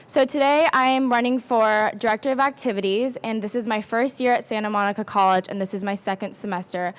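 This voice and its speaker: A woman's voice: a little high, fairly even intonation, brisk, clean tone. A young woman, a student candidate, gives a chatty, upbeat campaign speech. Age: young adult.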